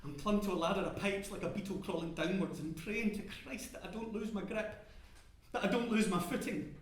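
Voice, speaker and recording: An actor playing a small, scared oil rig worker in a dramatic monologue, agitated, stammering and sweary. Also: male voice, sits high, a little fast, natural voice. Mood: desperate, straining. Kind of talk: dramatic first-person stage monologue. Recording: very echoey room.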